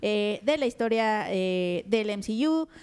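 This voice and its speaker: A woman, co-hosting a podcast in casual chat. She laughs often and fills her talk with little pauses. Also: medium pitch, expressive, darkish tone. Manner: giggly, chatty, filler-heavy. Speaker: podcast co-host.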